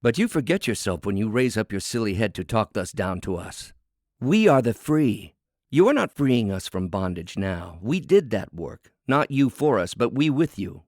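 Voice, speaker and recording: A man's voice: on the low side, big pitch swings, normal speed, darkish tone, dry recording, clean studio sound, pitched above the performer's own voice. A man voicing a proud, defiant working woman, a cook in a storybook fable, speechifying with indignant emphasis. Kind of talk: dramatic storybook fable reading.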